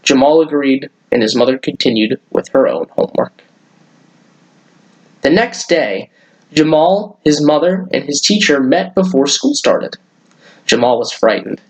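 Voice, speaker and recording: Man with a higher-pitched voice reading to kids. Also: animated, a little slow, low-quality recording. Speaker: children's story-time host reading aloud. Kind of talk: read-aloud storytelling for children.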